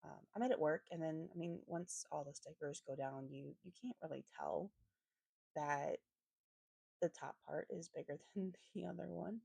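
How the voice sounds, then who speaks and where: A woman with a slightly raspy voice giving a casual craft voiceover, rambling hesitantly and often correcting herself as she goes. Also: low voice, normal speed, dark tone, no echo. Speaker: planner vlogger and host.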